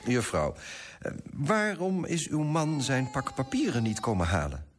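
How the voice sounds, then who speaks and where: An actor playing a fussy, self-righteous coffee broker in a radio play, pompous and self-important, with a husky voice. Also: male voice, mid-range voice, big pitch swings, unhurried, soft background music. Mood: brusque, officious. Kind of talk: first-person radio drama narration and dialogue.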